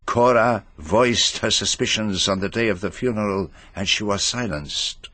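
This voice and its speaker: An actor in a radio drama playing a shrewd, courteous detective with a French-speaking Belgian accent, speaking precisely and deliberately. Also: male voice, on the low side, pushed and bright, no room sound. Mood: thoughtful, grave. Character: shrewd, methodical, courteous foreign male detective.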